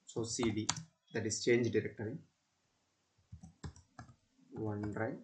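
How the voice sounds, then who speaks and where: A man narrates a step-by-step software tutorial as a student presenter, in halting, repetitive instructional phrases. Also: mid-range voice.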